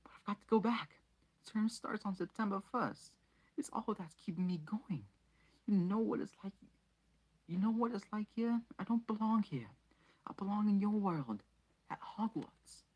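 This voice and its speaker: A woman voicing an earnest teenage boy, a little higher than her own voice. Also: lively intonation, normal speed, a little mellow, slightly rough, poor audio. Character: earnest teenage schoolboy wizard.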